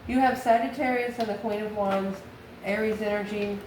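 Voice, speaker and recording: A woman reads tarot cards informally in an echoey room with noticeable background noise. Her voice sits at a medium pitch as she speaks straight to the listener. She muses aloud, posing questions and then answering them herself. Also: fairly even intonation, moderate pace. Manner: musing, asks and answers own questions. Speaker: tarot reader and channel host. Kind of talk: informal tarot card reading, talking to the viewer.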